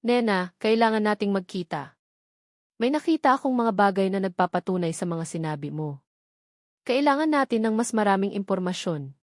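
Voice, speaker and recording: A woman's voice: medium pitch, lively intonation, normal speed, dry recording, clean studio sound, close to an everyday voice. A Filipino woman voicing an earnest, anguished rich man, a long-lost father, in a pleading storybook reading. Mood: urgent.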